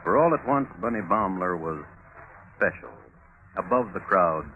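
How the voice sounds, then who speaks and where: An actor as a wry, measured narrator, deliberate and literary, in a 1950s radio crime drama. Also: male voice, sits low, lively intonation. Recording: tinny 1950s radio broadcast. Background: music.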